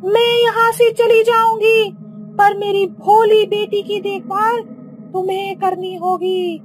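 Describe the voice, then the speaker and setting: Very high-pitched, vengeful witch mother. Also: flat delivery, soft background music, low-quality recording. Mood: sorrowful. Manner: dramatic, slow, drawn-out delivery. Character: vengeful grieving mother turned witch ghost. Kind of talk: Hindi cartoon horror story acting.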